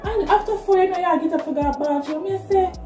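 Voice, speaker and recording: A woman's voice: very high voice, fairly quick. A woman in her twenties with a Jamaican accent, chatting casually and directly to viewers as a vlogger. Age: 20s. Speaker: vlogger, nursing student. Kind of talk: casual vlog talk to camera. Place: small plain room indoors. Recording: echoey room, music behind. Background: background music.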